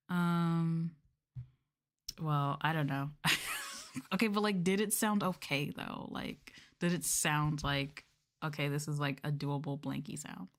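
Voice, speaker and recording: Girl streamer doing chill asmr chat, lowish voice, giggly and kinda unsure, up close on mic. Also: takes it slowly, pauses often. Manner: playful, giggly, hesitant. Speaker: VTuber streamer. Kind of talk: casual ASMR livestream chat.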